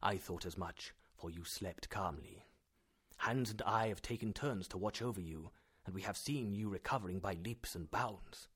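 A man's voice: sits low, normal speed, a bit bright, slightly rough, voice pushed down. A man playing an emphatic, affectionate older professor. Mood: cheerful.

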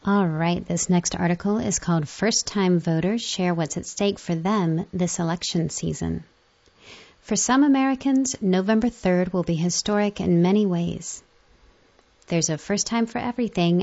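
A woman's voice: on the low side, vocal fry, darkish tone. A woman reading magazine articles aloud, moving through the text and quotations as a reader. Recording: dull, muffled lo-fi audio.

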